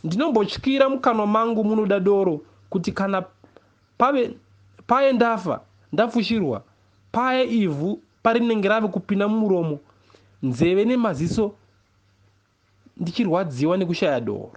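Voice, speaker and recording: A man with a Zimbabwean accent reads a first-person literary story expressively, in a measured way with deliberate pauses. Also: high-pitched, lively intonation. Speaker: reader of a novel, poet.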